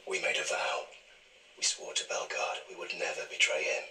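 An actor playing a grave, guilt-haunted soldier in a television period drama, solemn and intense. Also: male voice, fairly quick, bright, forceful tone. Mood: grave, guilty. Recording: distant, roomy playback of television audio.

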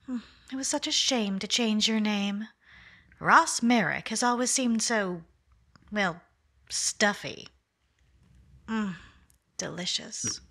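A woman's voice: mid-range voice, slow pace, long pauses, fairly bright tone. A woman playing a cool, scheming wife in an old-time radio mystery, coaxing and purring endearments. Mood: silky coaxing. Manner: purring, calls him darling. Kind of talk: 1940s radio acting.

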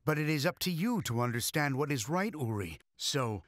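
An actor voicing a wise elderly male angel mentor who speaks in a calm, measured, teaching manner. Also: fairly high pitch, no room sound, clean studio sound. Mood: instructive.

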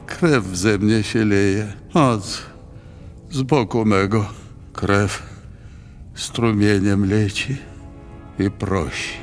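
An actor playing a proud, dying grey-haired major in a radio drama, pleading in halting, broken phrases. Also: male voice, fairly low pitch. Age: older. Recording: music behind the voice.

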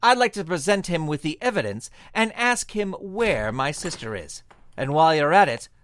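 An actor playing an earnest, plucky young amateur detective in a comic radio mystery, speaking emphatically. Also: male voice, fairly high pitch, animated, moderate pace, fairly bright tone. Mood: urgent, determined.

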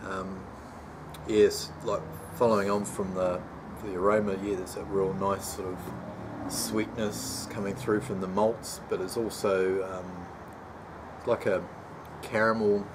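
A man in his forties with a somewhat low voice, talking easily into a nearby camera mic on an outdoor patio. His manner is relaxed and chatty, with lots of "um" pauses. Clear background noise and outdoor ambience sit under the voice. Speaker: homebrew channel host. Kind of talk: casual beer review vlog. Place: sunny backyard patio, outdoors. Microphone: camera mic at close range, later handheld while walking.

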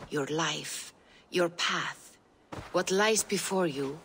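An actress playing a calm, grave seer in a video game, speaking slowly and prophetically. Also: female voice, on the low side, a little hiss or hum. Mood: ominous. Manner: slow, solemn, prophetic.

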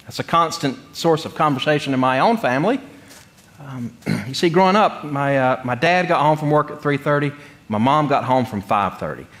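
Animated man preaching. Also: mid-range voice. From North Carolina, United States. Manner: conversational, humorous, with deliberate pauses. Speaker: pastor. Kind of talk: sermon with personal storytelling. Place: church sanctuary, speaking from the pulpit.

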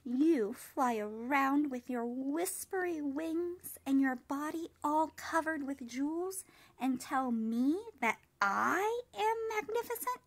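A woman's voice: sits high, animated, slow, pitched above the performer's own voice, full character voice. A woman voicing a sad, self-doubting little male cricket in a storybook, plaintive and wistful. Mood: hurt, frustrated. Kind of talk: storybook character reading.

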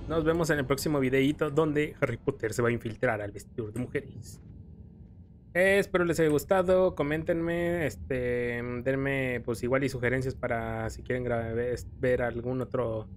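A man's voice: mid-range voice, normal speed, soft background music. A man with a Mexican accent giving casual, informal let's-play commentary as host of a gaming channel.

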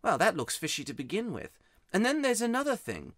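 An aggrieved young schoolboy from a children's storybook, as acted out by a narrator. He speaks emphatically in a raised, very animated voice, close and dry. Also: mid-range voice, a little fast, no echo, voice pushed up. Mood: secretive, uneasy. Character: miserable, wound-up, grubby young boy from the country. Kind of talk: children's audiobook character voice.

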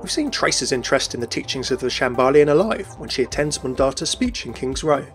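Casting: male video presenter, quick scripted delivery, mid-pitched, faint music underneath. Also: very animated, a bit bright. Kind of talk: scripted narration for game lore video. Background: background music.

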